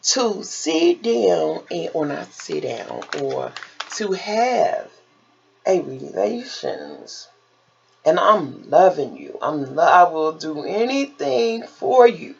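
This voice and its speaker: A woman vlogger telling stories in a casual, animated style, emphatic and theatrical, often acting out conversations and throwing out rhetorical questions. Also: fairly low pitch, slow, gravelly, breathy edge, muffled recording.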